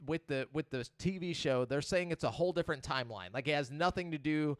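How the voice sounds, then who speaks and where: A young man chats loosely on a live gaming podcast, full of fillers and restarts. His voice is smooth and resonant, recorded dry and close. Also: fairly high pitch, fast talker, dry recording. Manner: loose, rambling, lots of fillers. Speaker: podcast host. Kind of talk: casual chat on a live stream.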